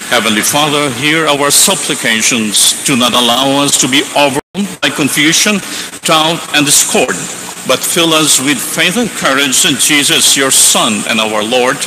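A man celebrating mass, intoning the prayers slowly and solemnly. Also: on the high side. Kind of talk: liturgical prayer. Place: large church sanctuary. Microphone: stand mic at altar. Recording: long church reverb with background noise.

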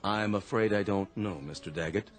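An actor playing a deferential, formal restaurant waiter in a radio drama with music underneath. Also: male voice, on the low side, moderate pace, close to an everyday voice. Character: polite, nervous male restaurant waiter.

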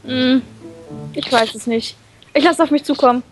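A woman's voice: sits high, normal speed. A woman reading a visual novel aloud in a casual way, as a co-reader in a let's play. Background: background game music.

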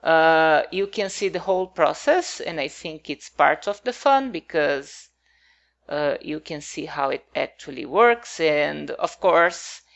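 A woman's voice: low voice, lively intonation, slow pace, vocal fry. A woman whose first language is not English, talking casually over her game build in a hesitant, rambling way with many fillers.

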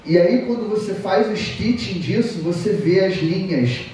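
A man's voice: sits high, unhurried, dark tone. Brazilian man speaking in a very echoey hall. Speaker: conference speaker. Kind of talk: informal technical talk. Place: auditorium stage, seated at a table. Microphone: distant camera in the audience. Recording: echoey hall, distant mic, background noise.

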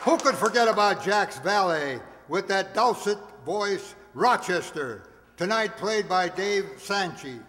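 A man in his seventies reading out cast credits on a stage in a deliberate, theatrical announcer's manner. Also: sits high, lively intonation, fairly bright tone. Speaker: announcer of a radio players group. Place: stage of a community hall. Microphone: stand microphone on stage, recorded by audience camera. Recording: echoey hall, audience noise, hiss.

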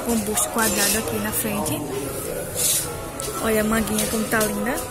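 A woman filming a walking price tour of clothes in a busy indoor market, talking to her viewers in a chatty, upbeat way. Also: fairly high pitch. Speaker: shopping vlogger. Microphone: handheld phone camera. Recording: loud market crowd and music. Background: loud music and crowd chatter.

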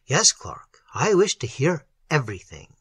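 Horror audiobook role: male reader as learned, concerned gentleman friend, earnest, slightly high and very animated. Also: measured pace, slightly rough, no room sound, studio quality, exaggerated voice. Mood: soothing, concerned. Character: cordial, concerned scholarly gentleman soothing his friend. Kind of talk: dramatic horror audiobook acting.

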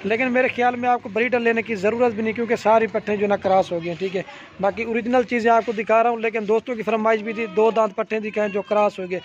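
A man with a Pakistani Urdu accent talks in a high voice, pitching his goats in a chatty, repetitive seller's patter. The phone audio sounds a little dull. A faint outdoor hum sits behind him. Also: steady tone. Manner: repetitive, salesy, keeps saying "theek hai". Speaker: goat farm seller showing animals. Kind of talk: casual sales walkthrough on camera. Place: outdoor farmyard beside a garden.

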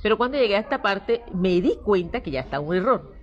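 A middle-aged Colombian woman with a Colombian accent, explaining her art project in conversation, animated but hesitant, often repeating words. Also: mid-range voice, a little fast, dull audio. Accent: Colombian Spanish. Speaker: artist, interview guest. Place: indoor seating area with a painted wall.

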